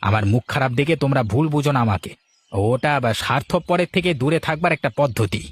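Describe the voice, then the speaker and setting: A man's voice: medium pitch, expressive, quick pace, husky. A man voicing a proud, honest, blunt village food-stall owner in a moral cartoon, speaking in a self-assured way. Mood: proud, sincere. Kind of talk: Bengali moral cartoon acting.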